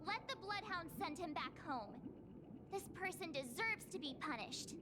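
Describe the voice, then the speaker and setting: Casting: small judgmental game creature, piercingly high, firm and blunt, under loud ambience. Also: female voice, animated, normal speed, heavy background noise. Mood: indignant, stern. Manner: blunt, indignant, decisive. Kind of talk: scripted video game dialogue.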